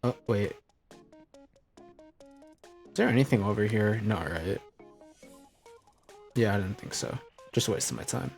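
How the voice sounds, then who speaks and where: A young man chatting casually as a streamer, rambling and correcting himself, often trailing off quietly. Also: lowish voice, a little slow, vocal fry, pauses often, faint music behind. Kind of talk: casual rambling chat while gaming.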